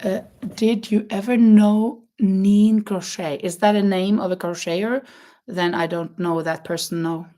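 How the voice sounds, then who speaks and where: A woman with a non-native English accent chats with her viewers in a relaxed, rambling way. She laughs a lot and often pauses mid-sentence to search for the right English word. Her pitch sits in an ordinary middle range for a woman. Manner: chatty, laughs often, hunts for words. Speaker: crochet live-stream host. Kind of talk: casual live-stream chat, answering viewer comments.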